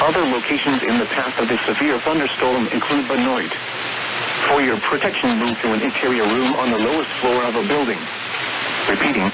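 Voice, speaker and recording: A synthetic male voice reading formal emergency weather warnings in a measured, impersonal way over a radio broadcast. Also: mid-range voice, muffled recording. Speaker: automated weather radio announcer. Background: radio static and hiss.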